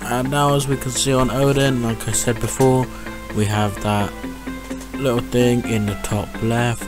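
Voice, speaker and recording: A man's voice: medium pitch, steady tone, measured pace. Man explaining, music underneath. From United Kingdom. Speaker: tech tutorial host. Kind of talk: casual step-by-step screen tutorial.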